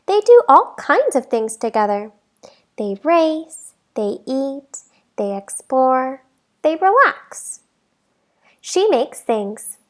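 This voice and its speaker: A woman with a high voice reads a children's storybook aloud. She takes her time, phrasing each line deliberately and leaning on key words for young listeners. The delivery is expressive, like a teacher at story time. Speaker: teacher reading a picture book aloud.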